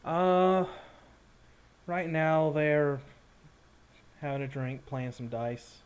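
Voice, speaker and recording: A man running a tabletop game, narrating off the cuff in an informal, halting way and chatting casually. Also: on the high side, clear, resonant tone, lo-fi sound. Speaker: game master.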